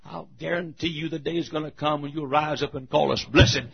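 An older man preaching a sermon in a church, swinging from hushed asides to shouting and chuckling through his lines. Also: on the high side, steady tone, a little fast, gravelly, breathy edge. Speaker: pastor. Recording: muffled lo-fi recording.

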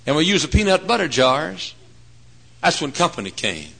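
A male preacher in his forties delivers a folksy, joking sermon. His voice sits a bit high and bright, with wide animated swings in pitch. He drops to hushed asides, then rises to loud, emphatic points. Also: moderate pace, vocal fry. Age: 40s. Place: church.

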